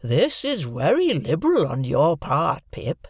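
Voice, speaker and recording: A reader voicing a simple, kindly village blacksmith who talks in a halting, rustic way, in an audiobook. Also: medium pitch, wildly swooping pitch, slightly rough, no room sound, studio quality, full character voice. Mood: earnest. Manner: rustic, halting, earnest speech. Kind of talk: audiobook character voice.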